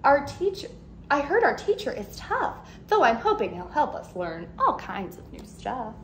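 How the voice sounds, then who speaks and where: A woman in her thirties, a teacher, reading a picture book aloud to young children in an expressive, animated way. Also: high voice, normal speed, a little hiss or hum. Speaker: second grade teacher. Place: living room at home, indoors.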